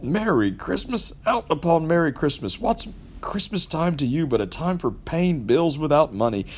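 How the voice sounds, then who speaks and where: Guy doing grumpy old miser uncle from a storybook, growly n scornful, pitch jumping all over. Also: big pitch swings, normal speed. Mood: indignant. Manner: indignant, curt, scornful.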